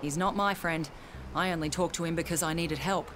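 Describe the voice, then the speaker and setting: A woman's voice: fairly low pitch, steady tone, brisk, noisy background, close to an everyday voice. An actress playing a tough, impatient woman survivor in a video game, blunt, direct and exasperated. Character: tough, foul-mouthed, impatient female survivor. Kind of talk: video game cutscene acting.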